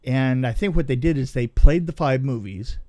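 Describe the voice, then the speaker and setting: A man's voice: medium pitch, moderate pace, mellow, dry recording. A man casually telling anecdotes from film and TV work as a guest, chatty and given to acting out remarks.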